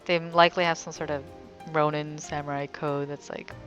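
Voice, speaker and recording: A woman's voice: low voice, little pitch movement, moderate pace, fairly bright tone, muffled recording, soft background music. A woman giving casual let's play commentary, rambling and thinking aloud in a wry way. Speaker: gamer, let's play host.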